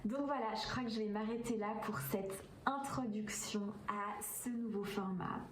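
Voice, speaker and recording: A woman with a Swiss French accent speaks casually to her viewers, pausing often on filler sounds. Her voice sits at an ordinary middle pitch. The sound is roomy and a little distant, with a faint hiss behind her. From Switzerland. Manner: hesitant, many filler sounds, enthusiastic. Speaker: vlogger and coach. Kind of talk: casual vlog talk to camera.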